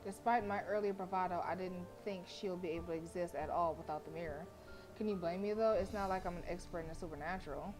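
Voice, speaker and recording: A woman game streamer reading story narration aloud in an expressive, dramatic way, with casual delivery between passages. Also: fairly low pitch, fast talker, rough recording. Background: game music.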